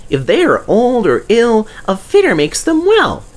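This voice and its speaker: A man voicing a young, eager and excitable little tank engine with a squeaky voice, for a storybook. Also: a little slow, exaggerated voice. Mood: eager, earnest.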